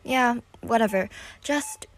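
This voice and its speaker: A woman's voice: on the high side, takes it slowly, slight background noise. A woman acting as an irritated, scolding woman on a hospital ward in an audio drama. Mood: annoyed.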